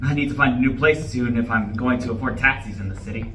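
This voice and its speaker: A man's voice: mid-range voice, fast, a bit bright, close to an everyday voice. A man acting a fussy, self-pitying character down on his luck, grumbling uneasily at a live play reading. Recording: echoey, distant live recording, faint noise.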